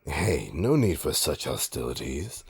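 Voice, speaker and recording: A man playing a suave, smug supervillain in an audio roleplay, teasing his nemesis with a pompous, mock-polite drawl and dramatic pauses. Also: a bass voice, very animated, heavily acted. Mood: taunting, amused. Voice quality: gravelly, husky. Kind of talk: audio roleplay monologue addressed to listener.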